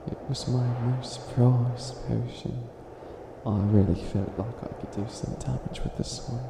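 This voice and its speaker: A man's voice: medium pitch, steady tone, unhurried, creaky. A man playing a theatrical, flustered would-be evil witch in a comedic ASMR roleplay, stammering and stretching words for dramatic emphasis. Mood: proud, wistful. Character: flustered self-styled evil witch, hopelessly helpful, theatrical. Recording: echoey room with audible background noise.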